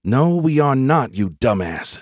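A man's voice: fairly low pitch, moderate pace, no room sound, natural voice. A blunt woman soldier, read by a male narrator, practical and short on patience. She bosses people in a close, dry audiobook recording. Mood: scornful. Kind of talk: audiobook character voice.

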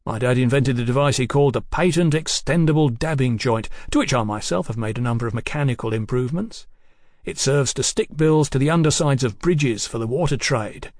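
A man's voice: mid-range voice, darkish tone, no room sound, studio quality, lightly acted. Man acting a folksy, unruffled tradesman boss. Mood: proud, placid. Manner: folksy, unhurried, colloquial, garrulous. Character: easy-going, talkative old-trade London bill-sticking boss, a working-class businessman.

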